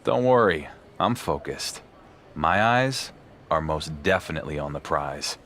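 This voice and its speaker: An actor playing a proud, sarcastic wrestling champion with a dry manner in a video game cutscene. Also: male voice, rumbling low, expressive, a little slow, a bit bright, slight background noise, full character voice. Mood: resentful. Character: wry, proud male pro wrestling champion.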